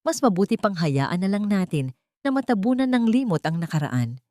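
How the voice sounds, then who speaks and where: A woman's voice: medium pitch, very animated, moderate pace, warm tone, no echo, clean studio sound, natural voice. A woman voicing a fearful, self-sacrificing mother with a trembling voice in an audio drama. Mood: resigned pleading.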